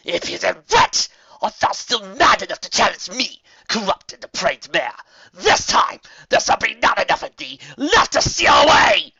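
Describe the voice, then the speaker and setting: A man voicing a mad, imperious alicorn queen mare who booms archaic proclamations, in a storybook reading. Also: sing-song, measured pace, creaky, sharp tone, slightly rough, dry recording, dull audio, exaggerated voice. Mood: furious.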